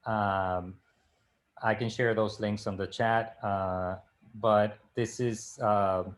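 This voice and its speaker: A middle-aged man from the Dominican Republic, co-hosting a casual video-call discussion, speaking haltingly with many fillers and restarts. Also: lowish voice, fairly even intonation. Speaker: librarian and co-host. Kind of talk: casual live-stream discussion.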